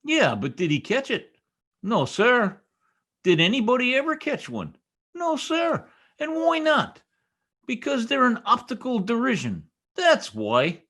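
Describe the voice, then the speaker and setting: Male actor as blowhard radio-comedy husband, pitch leaping all over, folksy, emphatic, mangles big words. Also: huge pitch swings, measured pace, bright, forceful tone, full character voice. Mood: scornful, blustery. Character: blustery, stubborn American husband who garbles his words. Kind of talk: 1940s radio comedy acting.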